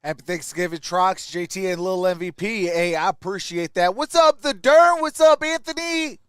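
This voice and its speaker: A man's voice: sits high, moderate pace, a bit bright, smooth, no echo, clean studio sound. A man giving informal live fan play-by-play on a sports stream, reacting aloud and chatting with viewers. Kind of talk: live fan commentary and chat.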